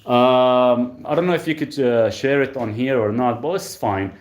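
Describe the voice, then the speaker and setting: A man's voice: medium pitch, moderate pace. A man from Lebanon hosting a live stream from his rural home, casually reading viewer questions aloud and answering them. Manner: relaxed, rambling, reads comments aloud then answers. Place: rural property, country home.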